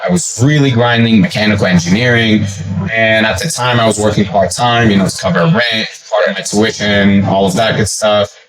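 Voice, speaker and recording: A man's voice: on the low side, animated, moderate pace, a loud crowd, poor audio. A man in his twenties from Lebanon, a podcast guest telling his story with earnest, eager energy. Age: 20s. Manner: eager, earnest, frequent "right?" and "you know". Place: car at a convention, crowd outside.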